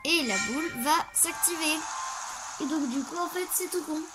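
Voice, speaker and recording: A woman's voice: sits high, normal speed. A woman with a cold, chatting casually and hesitantly as she plays through a video game, with lots of ums and restarts. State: has a cold. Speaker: let's play host. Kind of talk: casual gameplay commentary. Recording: game music loud behind the voice.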